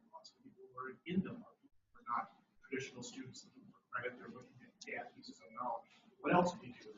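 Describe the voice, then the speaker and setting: A man's voice: mid-range voice, muffled recording, a little hiss or hum. A man in the audience of a conference session, thinking aloud as he gives his comment. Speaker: audience member. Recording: distant roomy audience recording.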